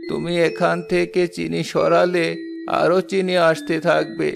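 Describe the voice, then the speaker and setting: A deeply creaky, fairly high old man's voice acted by a man as a kindly cartoon sage. He is calm, fatherly and reassuring, speaking evenly over clearly audible background music. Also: unhurried. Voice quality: creaky, gravelly. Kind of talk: children's moral fairy-tale cartoon dubbing.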